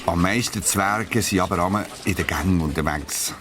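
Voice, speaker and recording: Low-voiced storyteller man. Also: heavy background noise. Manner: expressive storytelling, drawn-out emphasis. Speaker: narrator. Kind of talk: children's audio story narration.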